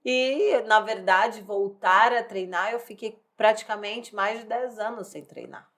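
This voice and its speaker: A woman's voice: medium pitch, measured pace, fairly bright tone. A woman in her thirties, a bodybuilding athlete, telling her life story in a candid, rambling way, full of fillers and restarts. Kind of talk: personal life story in casual chat.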